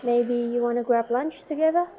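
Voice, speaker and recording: A woman's voice: on the high side, normal speed, mellow, natural voice. A woman playing a curious, earnest young student in an amateur radio drama, narrating and acting out her story. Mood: curious, friendly.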